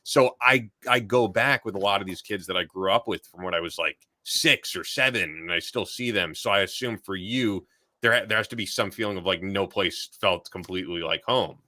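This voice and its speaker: A man in his thirties hosting a podcast, asking questions in an upbeat, lively way. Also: deep voice, normal speed, dry recording. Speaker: podcast host. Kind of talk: interview conversation. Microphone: studio mic on video call.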